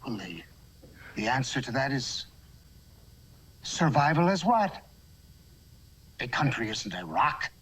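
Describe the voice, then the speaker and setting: A man playing a grave, principled judge in a dramatic film speech, measured and oratorical. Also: medium pitch, big pitch swings, a bit bright, slightly rough, heavily acted. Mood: impassioned, grave. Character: grave, principled judge delivering a solemn verdict.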